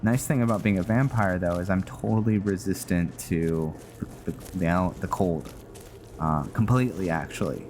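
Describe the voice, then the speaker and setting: A man giving casual, rambling gameplay commentary, halting often as he thinks aloud. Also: deep voice, darkish tone, slight background noise. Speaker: gaming video host. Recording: music behind the voice. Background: game music.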